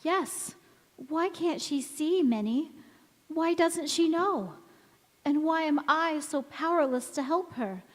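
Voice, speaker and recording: Woman acting a high, slow, wistful gentle mother. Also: expressive. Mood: wistful anguish. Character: wistful, gentle mother returned as young visitor. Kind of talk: old-time radio drama acting. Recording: echoey church hall stage.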